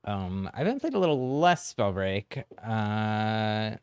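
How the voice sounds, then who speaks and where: Smooth, dry-sounding man chatting on stream. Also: fairly low pitch, sing-song, slow pace, no echo. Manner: friendly, chatty, easygoing. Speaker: game streamer, host. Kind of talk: casual livestream chat with viewers.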